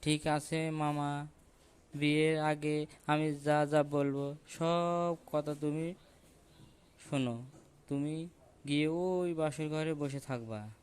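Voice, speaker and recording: A man's voice: a little high, steady tone, slow pace, long pauses, darkish tone, smooth. A man voicing a sly, scheming jackal in a cartoon, coaxing and instructing. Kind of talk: cartoon voice acting.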